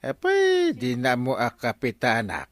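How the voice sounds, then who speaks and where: An actor playing a boastful, hot-headed village captain in an Ilocano radio comedy, blustering and insistent, with an Ilocano accent. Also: male voice, medium pitch, huge pitch swings, a little slow. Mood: stubborn, boastful. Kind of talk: broadcast comedy drama acting in Ilocano.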